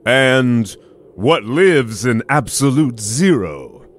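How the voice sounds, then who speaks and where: Slow, jokey male podcast host. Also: medium pitch, expressive. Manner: jokey, playful. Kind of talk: scripted podcast hosting.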